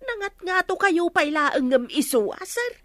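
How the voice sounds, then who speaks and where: A woman's voice: very high voice, sing-song, moderate pace, fairly bright tone, dry recording, clean studio sound, heavily acted. A woman playing a deferential, questioning sidekick in an Ilocano radio comedy, with an Ilocano accent. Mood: inquisitive. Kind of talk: Philippine radio comedy drama acting.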